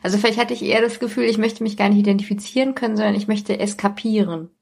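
A woman's voice: mid-range voice, fairly even intonation, fast, vocal fry, a little mellow, slightly rough. A middle-aged woman, talking casually as a podcast host.

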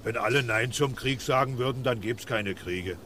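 An actor playing a gentle, earnest, naive elephant in a 1980s children's radio play, speaking simply and insistently with a gravelly voice. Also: male voice, medium pitch, normal speed, lightly acted. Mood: earnest, reproachful. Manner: simple, insistent, plain-spoken. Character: gentle, earnest cartoon elephant protester, a big naive pacifist. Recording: noisy old radio play recording.

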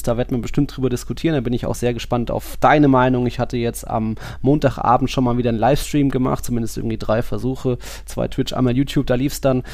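A man's voice: medium pitch, darkish tone, no room sound. A man co-hosting a football podcast, chatting casually in a loose, colloquial way. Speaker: podcast co-host.

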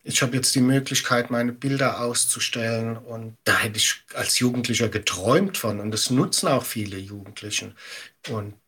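A middle-aged man giving measured, practical explanations as an expert guest in an interview. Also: medium pitch, a bit bright, breathy edge. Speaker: media education expert, podcast guest.

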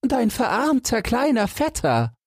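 A man's voice: very high-pitched, wildly swooping pitch, a little slow, husky, no echo, clean studio sound, voice pushed up, full character voice. A man voicing a cheeky, confident and carefree young hero of a fairy tale.

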